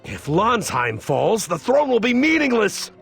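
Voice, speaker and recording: An indignant, credulous fantasy game townsperson. The voice is extremely high, sharp and creaky, pitched over background music in a dramatic delivery. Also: male voice, huge pitch swings, measured pace, full character voice. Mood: appalled.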